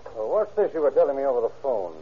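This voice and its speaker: A man's voice: medium pitch, sing-song, quick pace, breathy edge. A man playing a guarded, methodical sheriff in old-time radio crime drama, businesslike and full of questions. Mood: businesslike, probing. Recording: muffled old radio recording.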